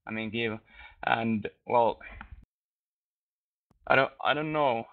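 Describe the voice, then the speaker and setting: A man whose first language is Finnish answers in a slow, hesitant, flat way. His voice is gravelly yet smooth. The sound is thin and phone-like, as over voice chat. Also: mid-range voice. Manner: hesitant, halting with many fillers. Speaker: guest, gamer.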